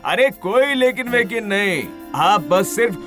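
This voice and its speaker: A lively, teasing cartoon friend, his fairly high voice bouncing all over the place. He is mischievous but warm-hearted, and music plays clearly in the background. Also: a little slow. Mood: reassuring. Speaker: husband's friend in a cartoon story. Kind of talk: animated cartoon dubbing.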